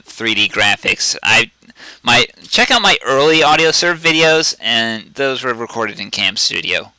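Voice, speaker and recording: A man with a very bright, sharp, forceful tone walks viewers through software in a loose, rambling tutorial. He is blunt and keeps breaking off and restarting his sentences. His loud voice sits on a dry, close microphone. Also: medium pitch, smooth, no echo, poor audio. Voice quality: self-described loud voice. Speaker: video tutorial host. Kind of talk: casual screen-recorded software tutorial.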